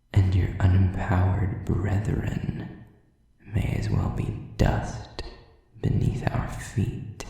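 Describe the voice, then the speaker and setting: A man playing a sadistic, contemptuous demon in an audio roleplay, whispering slowly and breathily with taunting condescension. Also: low voice, gravelly, warm tone, full character voice. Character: sadistic, contemptuous male demon preying on humans. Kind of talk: whispered dramatic monologue to the listener. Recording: distant, very echoey, muffled sound.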